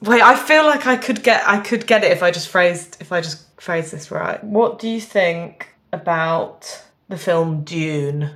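A woman's voice: on the low side, expressive, unhurried, few pauses, fairly bright tone. A young woman comedian chatting casually as a guest, jokey and self-deprecating. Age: young adult. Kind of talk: casual playful chat.

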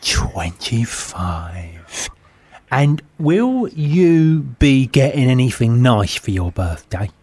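A man's voice: mid-range voice, very animated, slow, a little mellow, breathy edge, dry recording. A man co-hosting a comedy show, bantering with a caller in a teasing, mock-serious way. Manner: teasing, mock-serious, repeats lines for laughs. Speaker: radio comedy co-host.

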